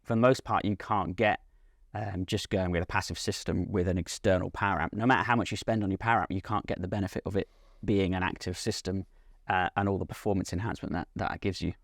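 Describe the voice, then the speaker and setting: Low-voiced man explaining products. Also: fairly even intonation, fast, gravelly, no room sound, studio quality. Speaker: loudspeaker company representative being interviewed. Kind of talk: unscripted technical product explanation. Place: at a hi-fi trade show.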